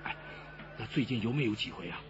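An actor playing an impatient, wary fugitive gang boss in a radio drama, curt, pushy and demanding. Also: male voice, lowish voice, expressive, quick pace, over music, natural voice. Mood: businesslike, pressing. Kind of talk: Chinese radio drama acting. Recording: dull band-limited radio recording.

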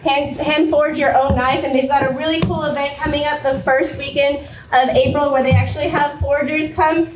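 A woman's voice: sits high, fairly even intonation, moderate pace. A young woman giving an upbeat welcome speech and presenting gifts in a community hall, holding a microphone. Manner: bubbly, upbeat. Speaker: chamber of commerce host. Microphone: handheld microphone. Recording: echoey hall, far from the mic, loud noise.

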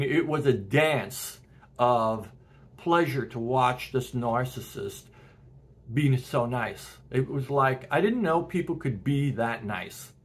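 Husky-voiced middle-aged man talking to camera. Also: medium pitch. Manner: earnest, animated, gesturing, with short laughs. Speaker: self-help video host. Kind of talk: casual direct-to-camera talk. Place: home room with porch window and plants.

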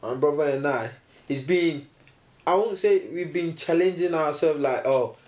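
A man's voice: fairly high pitch, a little slow, darkish tone, slight background noise. A young man on a sofa indoors, co-hosting a casual motivational chat and emphatically repeating his key lines. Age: young adult. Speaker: co-host, fitness vlogger. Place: indoor room, seated on sofa. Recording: roomy echo.

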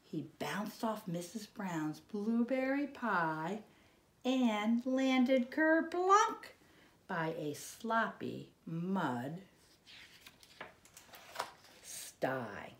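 A middle-aged American woman reading a children's storybook expressively, with drawn-out dramatic emphasis and hushed asides. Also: mid-range voice, sing-song. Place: living room, indoors. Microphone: camera a short distance away. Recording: echoey home room.

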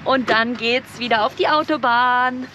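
High-voiced woman vlogging casually over background noise. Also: unhurried, bright, forceful tone. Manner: chatty, informal, explaining as she goes. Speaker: camping vlogger. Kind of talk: casual vlog narration. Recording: clearly noisy, outdoor and vehicle ambience.

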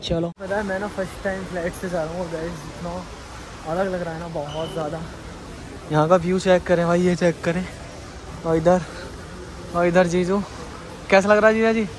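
A young man from India vlogging his trip through an airport onto a plane, chatty and excited, talking to his viewers as guys and mixing in English words. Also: sits high, moderate pace, long pauses, noisy background. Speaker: travel vlogger. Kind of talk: excited handheld travel vlog. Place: airport terminal and plane cabin, indoors.

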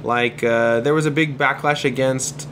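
A man's voice: medium pitch, steady tone, a little slow, noisy background. A man talking casually as a podcast guest, rambling with many false starts. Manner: rambling, hesitant, many restarts. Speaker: podcast guest, comics artist. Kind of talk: casual chat.

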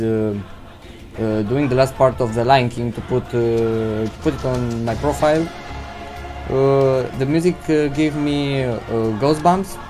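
A teenage boy from Romania, a native Romanian speaker, hosts a casual Q&A vlog in English, pausing often with fillers and non-native phrasing. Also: medium pitch. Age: teens. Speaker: channel host answering viewer questions. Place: indoors at home, seated in gaming chair. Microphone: desk microphone.

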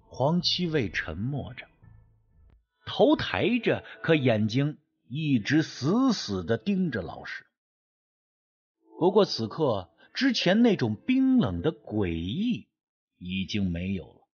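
Husky man telling a suspenseful story. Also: medium pitch, huge pitch swings, slow pace, muffled recording. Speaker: audiobook narrator. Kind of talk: dramatic scripted narration of a suspense ghost story.

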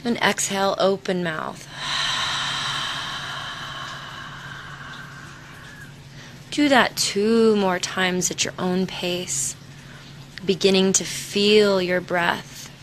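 A woman leading a guided meditation in a soothing, unhurried manner, leaving long pauses between short instructions. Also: mid-range voice, fairly even intonation, a little hiss or hum. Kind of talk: guided meditation, body scan.

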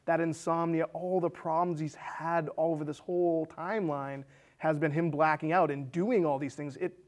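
A man in his thirties speaks in a casual, conversational way as a film show co-host. His voice sits a little higher than most men's. He retells stories as if talking to a friend across the table. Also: steady tone, moderate pace. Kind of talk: casual movie discussion, retelling a plot. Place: small indoor studio set with curtains.

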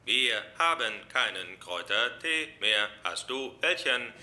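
Ein Schauspieler spielt einen steifen, pedantischen jungen Mann mit Kehlkopfentzündung und heiserer Stimme in einer synchronisierten Sitcom. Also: male voice, mid-range voice, expressive, a little slow, natural voice. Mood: stiff, ailing. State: hoarse with laryngitis. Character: stiff, pedantic young man with laryngitis. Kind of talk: dubbed sitcom acting. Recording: very noisy TV soundtrack.

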